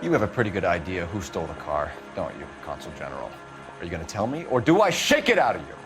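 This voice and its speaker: A male actor playing a hard-nosed American police detective with a clipped, forceful interrogating manner in a noir crime video game. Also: low voice, sing-song, fast. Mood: threatening. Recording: background music under dialogue.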